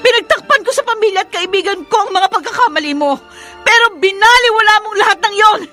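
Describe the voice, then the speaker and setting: Long-suffering woman, radio melodrama, extremely high bright voice, music underneath. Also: fast talker. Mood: bitter, anguished. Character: long-suffering, fed-up woman confronting her partner. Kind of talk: melodramatic radio drama acting.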